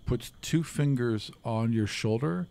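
A middle-aged man acts as game master at a table. He narrates slowly in a mid-range voice, building scenes piece by piece with frequent pauses. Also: medium pitch, vocal fry, warm tone. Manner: halting, many pauses mid-sentence. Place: small room, around a table. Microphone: individual table microphone, close.

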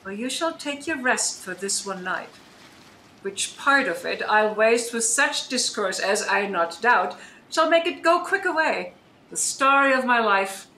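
A woman playing a commanding, grave, aging sorceress in a Shakespearean radio play, speaking measured, declamatory verse. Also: mid-range voice, sharp tone, slight background noise. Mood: gracious. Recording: roomy online recording.

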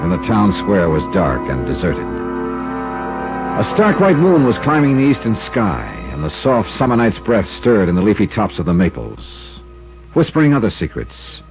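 An actor playing a hard-boiled, wry insurance investigator, with an American accent and clipped, dramatic 1950s radio delivery. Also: male voice, low-pitched, exaggerated voice. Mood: brooding, wry. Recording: muffled 1950s radio recording with music.

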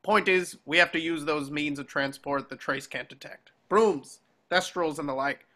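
A man's voice: on the high side, moderate pace, bright, forceful tone. Man acting out a piercing, impatient old wizard commander. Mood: brusque, commanding. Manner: barking, commanding, curt. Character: gruff, impatient old male wizard war veteran leader. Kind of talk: table read acting. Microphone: video call.

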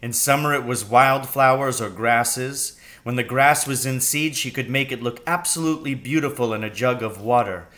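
A hearty, excitable father in a storybook, acted out by a man reading aloud. He speaks with emphasis and reassurance, as if sharing an adventure with his child. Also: mid-range voice, close to an everyday voice.

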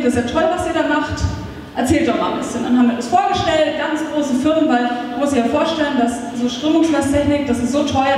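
A woman's voice: high voice, slight background noise. A woman, giving a chatty conference talk as a startup founder into a handheld mic, with lots of filler sounds. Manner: lively, chatty, many fillers. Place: meetup hall, indoors, on stage. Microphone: handheld microphone. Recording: echoey hall, taped from far off.

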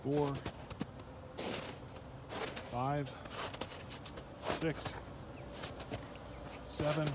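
A man with a middle-pitched voice talks calmly and matter-of-factly as he walks past his beehives, describing what he sees. It's a handheld phone recording made outdoors, with clear steady background noise. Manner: calm, matter-of-fact commentary. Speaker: beekeeper. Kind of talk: casual narration while filming. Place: outdoors among beehives in snowy yard. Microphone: handheld phone camera.

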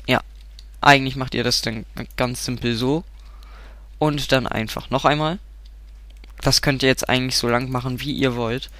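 A man with a stuffy, cold-clogged voice talks through a game tutorial at a desk mic. His tone is bright and forward, the sound dry and close, with keyboard clicks behind him. He rambles, fills pauses and keeps correcting himself. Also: medium pitch, steady tone, no room sound. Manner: rambling, self-correcting, frequent filler sounds. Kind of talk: casual gameplay tutorial.

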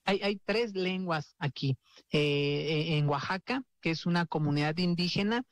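A woman working as a sign language interpreter, voicing live in the first person with a halting delivery full of fillers and restarts. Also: fairly low pitch, no echo.

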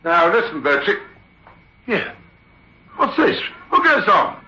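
Rattled, animated theatre manager. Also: male voice, sits high, slow, a little hiss or hum, heavily acted. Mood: agitated, sceptical. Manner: emphatic, agitated, repeats himself. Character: rattled, sceptical theatre manager telling his uncanny tale. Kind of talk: radio drama acting and first-person storytelling. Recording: muffled old radio recording with music.

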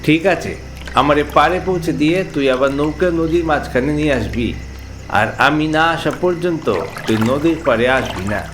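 A man playing a cautious, bossy older brother from a village, worried and chiding, in an animated ghost story. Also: fairly high pitch, moderate pace, background noise, lightly acted. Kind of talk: animated horror story character dialogue.

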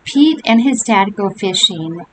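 An older woman reading a picture book aloud to young children, with gentle chatty asides. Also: medium pitch, a little slow. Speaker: storybook reader. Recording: home recording with steady hiss.

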